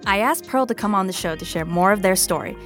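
A woman's voice: medium pitch, animated, fast talker, soft background music. A woman hosting a podcast, moving between scripted personal narration and interview talk. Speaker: podcast host.

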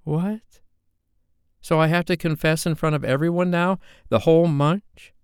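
A man's voice: fairly high pitch, steady tone, unhurried, clean tone, no room sound, clean studio sound, voice pushed up, lightly acted. A man voicing an upset young woman in an audiobook, speaking in a raised, strained, heated way. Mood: angry, bitter.

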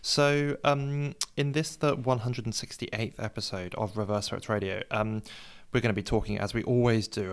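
Casting: male podcast co-host, fairly low pitch, wordy and conversational delivery. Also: smooth.

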